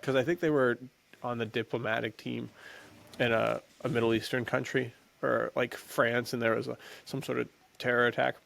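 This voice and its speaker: A man with a creaky, gravelly voice and medium pitch chats casually as a podcast co-host. His talk is loose and slangy, feeling its way through each thought. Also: level tone, normal speed, fairly bright tone. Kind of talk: casual movie chat.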